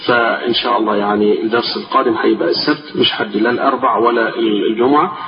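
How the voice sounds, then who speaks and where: A man with an Egyptian accent teaching a religious lesson. His voice is tired and strained. He reads a text slowly, then explains it in colloquial speech and repeats the key phrases. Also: medium pitch, fairly even intonation. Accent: Egyptian Arabic. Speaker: sheikh teaching a class. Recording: distant, echoey, dull-sounding lecture recording with music.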